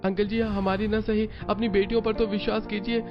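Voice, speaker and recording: A man's voice: sits high, little pitch movement, brisk, pitched above the performer's own voice, lightly acted. A man voicing an earnest, pleading young city man in a dramatic cartoon story. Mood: protesting. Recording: dull sound under loud music.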